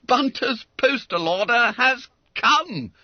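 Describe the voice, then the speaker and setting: A man's voice: fairly low pitch, fairly quick, no room sound, muffled recording, close to an everyday voice. A man voicing jolly, teasing schoolboys in a storybook reading, hearty and exclamatory. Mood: astonished.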